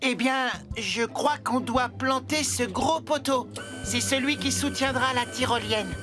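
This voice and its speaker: A woman voicing a caring, encouraging talking crane in an animated children's cartoon. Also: high-pitched, music in the background. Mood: helpful. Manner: encouraging, unhurried.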